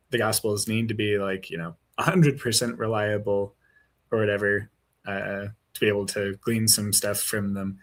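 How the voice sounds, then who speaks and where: Hesitant low-voiced male caller. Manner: hesitant, lots of fillers, tentative. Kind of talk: casual discussion.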